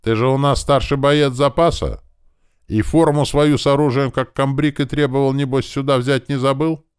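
A man's voice: mid-range voice, steady tone, normal speed, clear, resonant tone, no room sound, clean studio sound, voice pushed up, natural voice. A man voicing a stern older fortress commander who speaks with rustic authority in an audiobook story. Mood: brisk, commanding. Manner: commanding, rustic old-fashioned speech.

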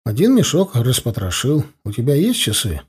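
A man's voice: mid-range voice, huge pitch swings, normal speed, breathy edge, full character voice. A man doing an easygoing, wry young watchman. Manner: easygoing and wry, without malice. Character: good-natured young Soviet watchman and loader.

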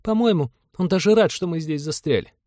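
A man's voice: on the high side, a little fast, dark tone, no room sound, clean studio sound, voice pushed up, natural voice. A man voicing an earnest, emotional young woman space traveller in an audiobook. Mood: cross. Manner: pleading, emotional.

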